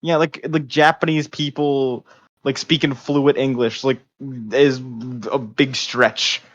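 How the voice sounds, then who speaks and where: A man co-hosting a podcast in slangy, halting casual talk full of filler words. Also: mid-range voice, fairly even intonation, fairly bright tone, muffled recording. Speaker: podcast co-host.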